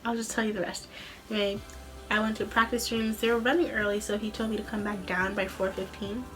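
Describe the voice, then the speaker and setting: A young woman chats informally in the manner of a personal vlog. Her pitch sits in an ordinary middle range for a female voice, and she rambles casually through her account. Music plays clearly behind her. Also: flat delivery, fairly quick, fairly bright tone, rough recording. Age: young adult. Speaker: vlogger, student singer.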